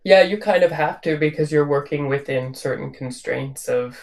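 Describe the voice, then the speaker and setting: A man's voice: fairly high pitch, moderate pace. A man working as a book editor, explaining thoughtfully in a casual conversation on a video call. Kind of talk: casual virtual event conversation.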